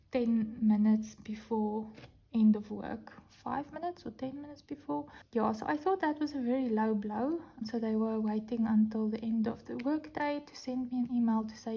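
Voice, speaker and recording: 沙哑低沉的南非口音女声. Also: female voice, on the high side, vocal fry, dark tone, breathy edge, highs cut off. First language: Afrikaans. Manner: chatty, candid storytelling. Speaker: diamond painting vlogger. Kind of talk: casual chat while crafting. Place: indoors at a craft table. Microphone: camera over the hands, speaker off-frame.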